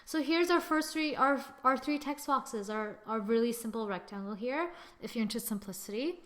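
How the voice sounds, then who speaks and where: A young woman with a slightly high voice talks through a design tutorial at her computer. She narrates every click and step in a chatty, casual way, speaking into a desktop mic. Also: lively intonation, normal speed, fairly bright tone. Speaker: tutorial host. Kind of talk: screen-recorded software tutorial.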